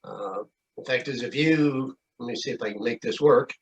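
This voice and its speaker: An American man informally walking through a shared screen on a video call, halting and folksy as he goes. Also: medium pitch. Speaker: club meeting co-presenter. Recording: dull video-call audio.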